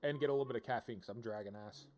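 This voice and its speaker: A man's voice: mid-range voice, rapid-fire, a little mellow. A man giving casual, rambling game livestream commentary, with wry playful asides as he reads on-screen text aloud.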